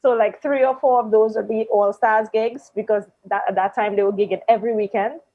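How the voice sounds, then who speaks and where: A woman in her thirties from Trinidad and Tobago with a Trinidadian accent, telling her story in a relaxed interview chat with soft laughs and ums. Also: mid-range voice, a little fast, dry recording, narrow, tinny audio. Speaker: guest musician.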